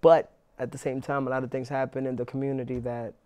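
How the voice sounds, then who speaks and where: A man's voice: medium pitch, smooth. A young man, an actor being interviewed, talking casually with lots of restarts and fillers. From New York, Inwood. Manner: relaxed, halting with many restarts and fillers.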